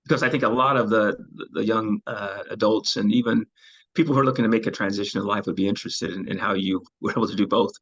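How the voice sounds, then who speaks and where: A man with a low, husky voice hosts an interview over a video call and talks in a measured way, pausing on plenty of ums and uhs. The call audio is dull and lo-fi. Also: steady tone, fairly bright tone. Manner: measured, with frequent fillers.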